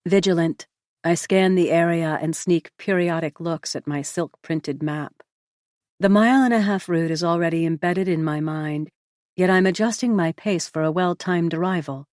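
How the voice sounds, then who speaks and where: A woman with a low voice reads a novel aloud in the first person, her delivery measured and even. The sound is close and dry, with no room around it. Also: no room sound. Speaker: audiobook narrator.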